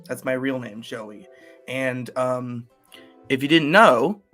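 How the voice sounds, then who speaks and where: A man's voice: mid-range voice, slow pace, fairly bright tone, soft background music. An eighteen-year-old man talking casually to his channel's viewers, rambling with many fillers and restarts. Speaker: YouTuber. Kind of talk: casual solo vlog talk.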